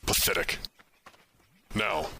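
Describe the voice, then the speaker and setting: A man playing a sour, suspicious adventurer with a curt, deadpan delivery in a fantasy audio drama. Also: slow pace, close to an everyday voice. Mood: dismissive. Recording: clearly noisy hobby recording.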